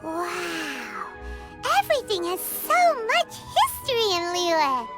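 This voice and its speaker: A tiny female fairy sidekick from a game, chirping in a very high, bouncy voice that leaps all over the place. She is excitable and curious, with game music playing behind her. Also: slow pace, heavily acted. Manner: bubbly, exclamatory, curious. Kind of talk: game cutscene voice acting.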